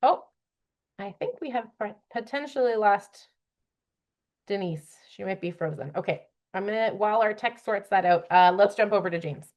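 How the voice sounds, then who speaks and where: A woman's voice: mid-range voice, normal speed, a little mellow. A woman moderating a panel discussion as its host, introducing and questioning the guests.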